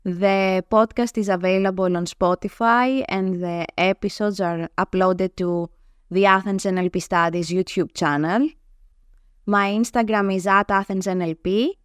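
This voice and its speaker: A woman with a Greek accent, a native Greek speaker, giving measured, explanatory answers as an interview guest. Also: medium pitch, steady tone, clear, resonant tone, dry recording, clean studio sound. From Greece. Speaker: guest, NLP trainer. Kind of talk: reading prepared answers in an interview.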